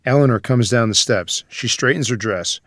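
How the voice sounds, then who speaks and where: A man's voice: medium pitch, moderate pace, no echo, clean studio sound. A man reading stage directions as scripted screenplay narration.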